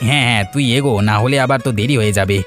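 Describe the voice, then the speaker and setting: A man's voice: medium pitch, racing, fairly bright tone, faint music behind, lightly acted. A man voicing a friendly, eager village man in a cartoon, chatty and helpful. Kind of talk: cartoon voice acting.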